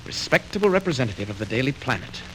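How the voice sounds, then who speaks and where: An actor playing a bold, self-assured reporter who is secretly a superhero, brisk and declamatory in 1940s radio serial style. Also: male voice, mid-range voice, sing-song, fairly bright tone. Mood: calm, scheming. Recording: hissy, crackly old radio record transfer.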